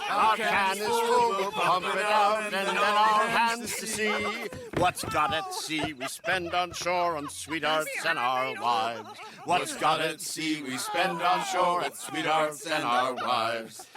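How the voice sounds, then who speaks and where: An actor playing a merry drinker, singing a sea shanty in a western game. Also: male voice, a little high, slow, few pauses. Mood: rollicking. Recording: loud crowd of voices.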